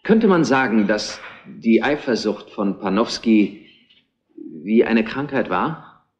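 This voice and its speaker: Retro radio play: polite, persistent detective, animated low pitch, deliberate, muffled recording. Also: male voice. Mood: measured, probing. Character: persistent, measured male police investigator. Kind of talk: retro crime radio drama acting. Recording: dull lo-fi old radio play.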